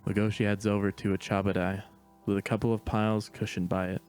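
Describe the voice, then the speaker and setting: A man narrating stage directions in a steady, matter-of-fact reading voice. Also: lowish voice, fast talker, clean tone, soft background music. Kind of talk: plain read-aloud narration.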